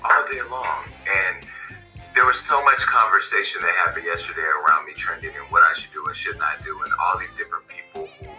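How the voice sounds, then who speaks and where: A man giving a direct-to-camera statement from an office, speaking in a deliberate, measured way and repeating phrases for emphasis. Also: deep voice, pushed and bright, faint music behind, rough recording. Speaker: media founder giving a video statement.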